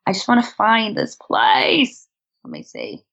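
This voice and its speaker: A woman's voice: medium pitch, huge pitch swings, measured pace, creaky, a bit bright, slightly rough. Chatty woman guest, dull lo-fi sound. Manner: chatty, laughs often, many fillers and restarts. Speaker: interview guest, traveler. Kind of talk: casual conversational storytelling.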